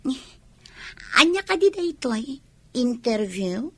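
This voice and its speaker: Woman acting a husky, sly Ilocano college girl. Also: sits high, sing-song, slow pace, no room sound, exaggerated voice. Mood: innocently polite. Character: sly, mercenary young college girl, a bold schemer. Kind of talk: Ilocano radio comedy drama acting.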